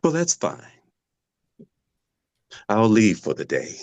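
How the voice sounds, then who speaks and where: A man playing a vengeful, grieving patient in a dramatic table read, slow and taunting with sudden drops toward a whisper. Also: fairly low pitch, huge pitch swings, no echo, full character voice. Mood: gloating menace. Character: menacing, vengeful male patient grieving his twin sister.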